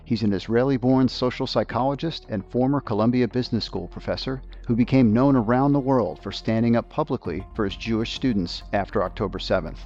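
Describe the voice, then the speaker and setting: A man hosting a podcast, reading a scripted introduction in a measured, polished way. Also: mid-range voice, a little mellow, muffled recording, faint music behind. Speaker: podcast host.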